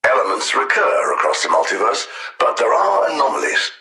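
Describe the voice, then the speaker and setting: Imperious, swooping alien supervillain. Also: male voice, mid-range voice, huge pitch swings, sharp tone, roomy sound, exaggerated voice. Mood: grandiose, proud. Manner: imperious, measured, condescending, precise formal diction. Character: cold, arrogant male alien scientist tyrant, grandiose supervillain. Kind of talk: theatrical video game villain voice lines.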